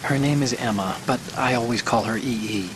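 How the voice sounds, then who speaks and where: A man's voice: medium pitch, fairly quick, lightly acted. A man voicing a hesitant, anxious hacker. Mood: worried. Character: nervous male hacker ally on radio. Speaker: tech support on radio. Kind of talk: video game cutscene dialogue. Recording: game audio over steady rain noise. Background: rain.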